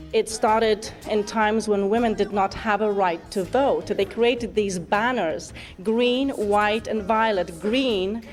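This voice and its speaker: A woman narrates a documentary clip slowly and earnestly, explaining as she goes. Faint music plays behind her voice, as if from a played video. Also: mid-range voice.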